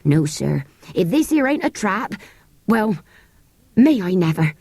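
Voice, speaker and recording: A woman's voice: medium pitch, very animated, unhurried, a little mellow, no room sound. A woman voicing a wary, dutiful male country servant in an audiobook, firm and rustic in speech. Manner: firm, countrified turns of phrase. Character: wary rustic English manservant, dutiful adult man.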